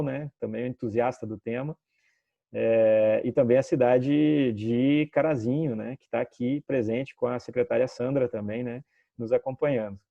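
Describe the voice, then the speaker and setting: A man's voice: medium pitch, mellow, dry recording. A man from southern Brazil on a video call, giving formal greetings and rambling with many filler tags and restarts. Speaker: city education representative. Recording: dull lo-fi call audio.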